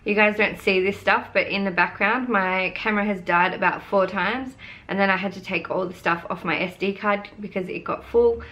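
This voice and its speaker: A young woman with a hoarse, fading voice, chatting eagerly through her beauty favourites as a vlogger. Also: mid-range voice, fairly even intonation, normal speed, sharp tone. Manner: chatty and gushing, showing products to camera. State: losing her voice. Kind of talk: casual product review vlog.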